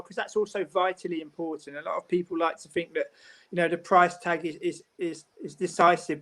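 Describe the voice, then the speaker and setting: A man speaking as a guest pundit in a casual football discussion, measured and explanatory, often repeating words as he thinks. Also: sits high, a bit bright, rough recording.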